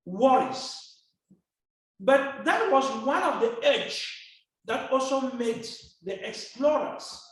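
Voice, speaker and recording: A man from Cameroon giving a formal seminar presentation, speaking slowly and deliberately. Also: very high-pitched. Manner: slow, deliberate, formal phrasing. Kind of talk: lecture presentation. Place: seminar hall. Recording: echoey hall from a distance.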